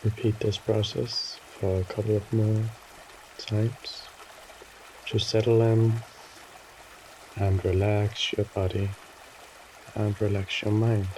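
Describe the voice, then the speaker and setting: A man narrating a guided meditation in a soothing, gently instructing way, with short phrases and pauses between them. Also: fairly low pitch, flat delivery, a little hiss or hum, poor audio.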